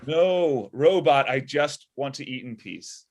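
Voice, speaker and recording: A man's voice: mid-range voice, animated, slow, a little mellow. A man playing an exasperated inventor and boss of a robot, in a comedy table read over video call. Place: indoor room on a video call.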